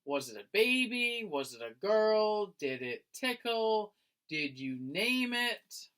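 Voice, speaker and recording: A man voicing excited, curious young classmates from a storybook, with eager, wide-eyed exclamations and questions. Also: sits high, takes it slowly, pitched above the performer's own voice, full character voice. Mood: eager questioning.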